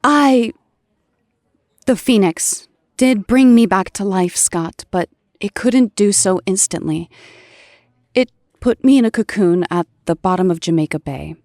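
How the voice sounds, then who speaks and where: A woman playing an earnest, pleading superhero in an audio drama, deliberate and emotional. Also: medium pitch, darkish tone, no echo, clean studio sound, lightly acted. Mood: explaining, urgent. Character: Jean, earnest, pleading resurrected female superhero.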